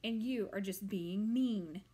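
A woman voicing a loud scolding old witch. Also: medium pitch, moderate pace, lightly acted. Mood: indignant.

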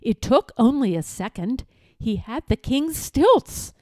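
A woman reading a children's storybook aloud as a storyteller, expressively and with deliberate, dramatic pauses. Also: high voice, sing-song, darkish tone, no room sound, studio quality.

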